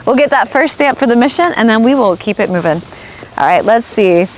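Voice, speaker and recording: A young woman from North Carolina hosts a live tour, chatting and giggling through plenty of fillers. Her voice sits a little high. She talks outdoors on a clip-on mic, with faint ambient noise behind her. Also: expressive, a little fast. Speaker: host of a travel livestream. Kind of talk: casual live chat while touring. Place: outdoors at a historic fortress park.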